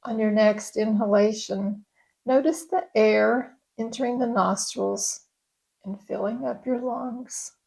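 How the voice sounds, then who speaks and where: Slow, soft-toned woman guiding meditation. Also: fairly high pitch, steady tone, gravelly, husky, poor audio. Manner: gentle, soothing, unhurried. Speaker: yoga nidra instructor. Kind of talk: guided meditation.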